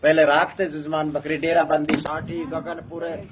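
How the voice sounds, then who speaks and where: A man's voice: fairly high pitch, little pitch movement, normal speed, dull audio, a crowd in the background. A man with a rural Haryana-Rajasthan accent telling oral history as a traditional community storyteller, emphatic and repeating phrases in a building chant. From North India.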